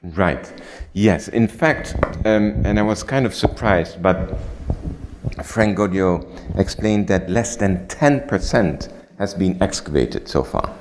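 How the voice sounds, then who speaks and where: Low-voiced man lecturing in a hall. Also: animated, noisy background. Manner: measured, with frequent ums and restarts. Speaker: museum lecturer answering audience questions. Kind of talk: lecture Q&A, explanatory.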